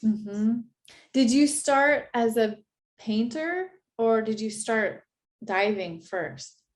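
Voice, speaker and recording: A woman's voice: on the high side, expressive, takes it slowly. A woman hosting an interview, effusive and prone to repeating herself. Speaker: interview host, art school founder. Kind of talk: casual interview.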